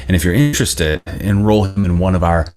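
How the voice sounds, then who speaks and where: Guy reading calm formal school admin, really low voice, polite. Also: fairly quick, natural voice. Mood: diplomatic. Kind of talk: table read.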